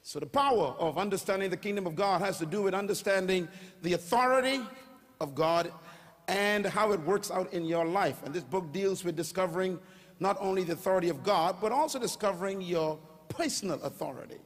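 An older man with a Bahamian accent preaching a sermon on stage into a handheld mic, calling on the crowd to answer back and rising to shouts. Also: high-pitched, a little fast. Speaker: pastor. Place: large auditorium stage. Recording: long hall reverb.

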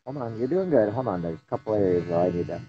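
A man's voice: fairly low pitch, lively intonation, fast. Playful father filming on a phone. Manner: laughing, playful, talks to viewers. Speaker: vlogger, father. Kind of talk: casual home video chat. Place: dark room at home, indoors. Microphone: phone at arm's length. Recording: dull phone audio with faint noise.

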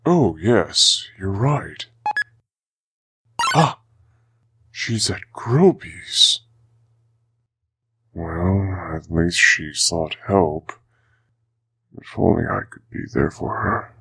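Woman as a husky, low male skeleton scientist. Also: animated, fairly bright tone, heavily acted. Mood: wistful. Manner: measured, subdued, formal. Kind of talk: fan comic dub acting.

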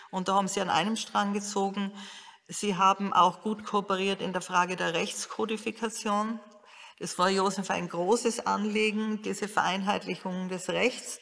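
A woman's voice: medium pitch, fairly bright tone. A woman giving considered, academic explanations as an expert in an interview. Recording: reverberant room.

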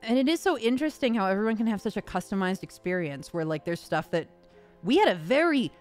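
A woman voice actor hosting a casual question-and-answer chat in an expressive, conversational way. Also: medium pitch, quick pace, soft background music.

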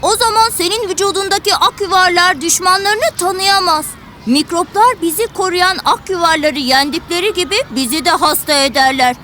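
A woman's voice: very high-pitched, moderate pace, sharp tone, slight background noise. A woman voicing a knowing, earnest child who explains things in a children's educational cartoon. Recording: music behind the voice.

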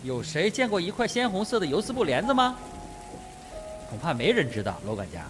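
A man's voice: high-pitched, sing-song, a little fast, over music. A man playing a wry, hearty army colonel in a Mandarin radio drama, with rain noise behind him. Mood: wry, animated.

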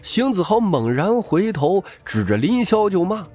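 A man's voice: sits high, big pitch swings, unhurried, a little mellow, soft background music. A man narrating a novel as a dramatic scripted audiobook.

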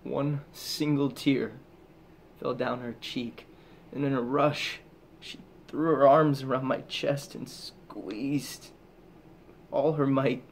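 Man performing haunted male soldier, stage monologue, unhurried halting delivery, intense, mid-pitched, faint background noise. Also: steady tone, creaky. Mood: grieving, guilty. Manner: halting, intense, with heavy pauses. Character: haunted, guilt-ridden male soldier back from war.

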